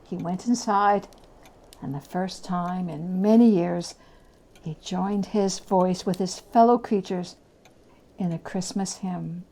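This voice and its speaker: A woman narrating a classic story as a dramatic reading, with expressive, deliberate phrasing. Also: lowish voice.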